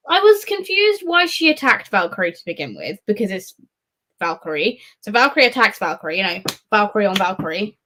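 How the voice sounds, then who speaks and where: A woman with a medium-pitched voice talks casually in a live readalong discussion. She rambles from thought to thought with wry phrasing and easy, frequent swearing. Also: bright, forceful tone, rough recording. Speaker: book readalong panellist.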